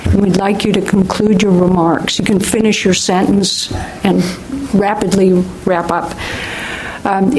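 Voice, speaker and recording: A woman chairs a public hearing in a friendly, orderly way, with the odd filler. Noise can be heard clearly behind her voice, along with some clicks. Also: mid-range voice, a little mellow, poor audio. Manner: friendly, procedural, a few fillers. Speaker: commission chair. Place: public meeting room.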